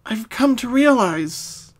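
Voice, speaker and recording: A woman voicing a sorrowful young female cartoon pony in a fan story, speaking softly and solemnly with hesitations. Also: fairly high pitch, animated, pitched above the performer's own voice. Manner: soft, solemn, halting. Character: sad young female pony confessing her hidden identity.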